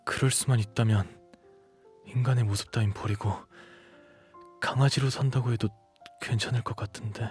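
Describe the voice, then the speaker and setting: A man's voice: medium pitch, steady tone, normal speed, long pauses, a little mellow, soft background music, lightly acted. A man acting as an eager, cutesy, pleading twenty-year-old dog shapeshifter in an audio drama, with a boyish manner. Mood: wistful, smitten. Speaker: voice actor.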